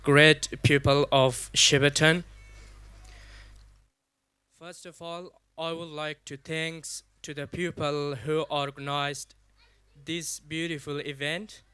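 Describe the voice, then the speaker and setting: A young man with an Afghan accent giving a personal speech at a community event, halting and deliberate, in short non-native English phrases. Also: a little high, level tone, bright, forceful tone, clean tone, dry recording. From Afghanistan, raised partly in Pakistan. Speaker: guest speaker at a community event. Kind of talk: public speech, personal testimony.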